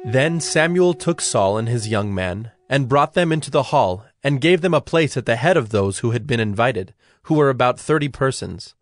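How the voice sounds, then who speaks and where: Mid-pitched male Bible narrator. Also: lively intonation, moderate pace, few pauses, no echo, faint music behind.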